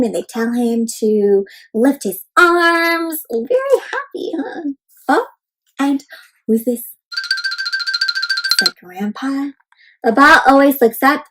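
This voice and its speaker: A woman's voice: high-pitched, animated, takes it slowly, fairly bright tone, soft background music, poor audio. A woman hosting an interactive storybook read-aloud for young children, often putting questions to her listeners and addressing them as friends. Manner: asks the listeners frequent questions.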